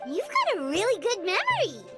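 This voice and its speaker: A woman voicing a small, childlike fairy companion in a video game, with a squeaky, eager, outspoken way of talking. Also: huge pitch swings, normal speed, exaggerated voice. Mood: admiring. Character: small, childlike floating fairy girl companion, chirpy and protective. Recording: game music behind.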